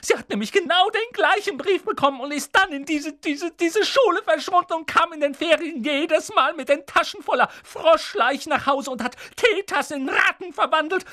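A reader voicing a bitter middle-aged aunt who rants in a shrill, spiteful rush, in an audiobook. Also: female voice, pushed and bright. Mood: shrill ranting. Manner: shrill, spiteful, rushing tirade. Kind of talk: audiobook reading.

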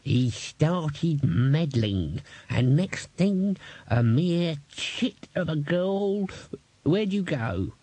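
A man voicing a cranky, suspicious old male manservant who bawls and grumbles in a slow, drawn-out way. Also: a little high, very animated, dark tone, husky, dry recording, pitched above the performer's own voice, full character voice. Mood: grumpy. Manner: bawling, quarrelsome, slow drawn-out.

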